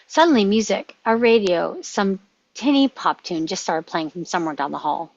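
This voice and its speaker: A woman's voice: mid-range voice, animated, moderate pace, vocal fry, dull audio. A woman reading stage directions as scripted narration at a screenplay table read.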